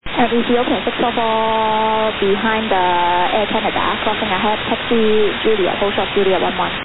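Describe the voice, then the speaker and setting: A woman's voice: a little high, little pitch movement, normal speed. A woman working as an air traffic ground controller, giving clipped instructions over the radio. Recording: very noisy, hissy narrowband aviation radio.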